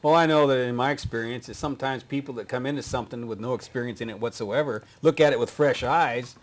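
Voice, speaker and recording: Male interviewer, mid pitch, relaxed questions, frequent affirmations. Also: fast talker. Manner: conversational, affirming with frequent "mm-hmm". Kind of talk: casual interview conversation.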